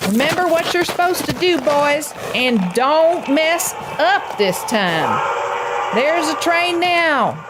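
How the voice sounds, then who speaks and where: A woman's voice: high-pitched, big pitch swings, slow, full character voice. An actress playing a bossy, ruthless female boss of cattle rustlers in a western radio drama. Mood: commanding, ruthless. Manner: bossy, commanding. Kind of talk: old-west radio drama acting. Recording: noisy background with ambience.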